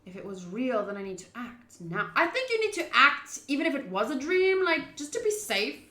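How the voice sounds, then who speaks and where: A woman's voice: high-pitched, very animated, normal speed, pushed and bright. A young woman streamer reading a visual novel aloud and chatting informally and expressively with viewers. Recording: roomy echo.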